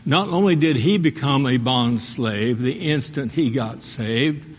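Man, pastor, sermon, slightly husky, long church reverb. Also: medium pitch, measured pace. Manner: call-and-response preaching, rhetorical questions. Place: church sanctuary.

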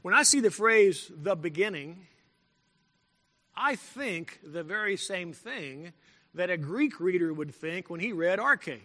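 A male pastor delivers a sermon with a slightly high, bright, forceful voice. He speaks deliberately and with emphasis, repeating key phrases and clearing his throat from time to time. His voice rings out with a long echo, as in a large church hall. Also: expressive. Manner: clears throat now and then.

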